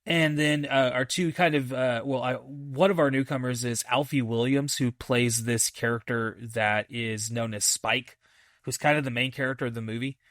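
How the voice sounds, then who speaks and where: A man hosting a podcast, casually reviewing a film in a halting, thinking-aloud way with enthusiastic, repeated emphasis. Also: mid-range voice, fairly even intonation, fairly bright tone, no echo, studio quality. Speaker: movie review podcast host.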